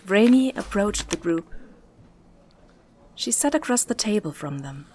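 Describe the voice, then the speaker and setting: A woman's voice: medium pitch, very animated, unhurried, darkish tone, slight background noise. A woman narrating a fantasy audio drama as a scripted storyteller.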